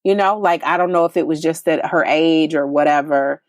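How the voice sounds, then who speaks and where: A woman's voice: deep voice, normal speed, no echo, studio quality. A middle-aged woman from California who hosts a podcast and talks in loose, rambling chat full of "like"s and restarts, laughing often. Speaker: podcast host, relationship coach. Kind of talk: casual conversation.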